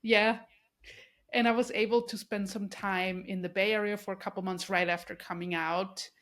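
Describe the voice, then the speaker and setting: A woman's voice: mid-range voice, steady tone, moderate pace. Austrian-born woman hosting a podcast. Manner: warm, effusive, many restarts and fillers. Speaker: podcast host. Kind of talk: casual interview conversation.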